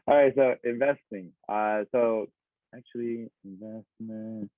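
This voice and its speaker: A man's voice: on the low side, steady tone, no echo. Teasing young man on a tinny mic. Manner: quick, laughing, teasing. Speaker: podcast co-host, son. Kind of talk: casual chat. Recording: tinny phone-like sound, dry room.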